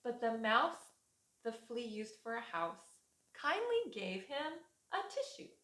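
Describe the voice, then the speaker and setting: A woman preschool teacher reading a storybook aloud to young children in a rhythmic, expressive way. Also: on the high side, slow. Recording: echoey room.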